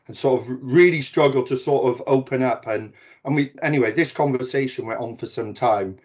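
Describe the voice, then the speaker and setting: A man's voice: medium pitch, brisk, a little mellow, breathy edge. A man in his forties with a Welsh accent, giving a chatty, self-deprecating talk as a guest speaker on a video call. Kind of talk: webinar talk. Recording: dull lo-fi video call audio.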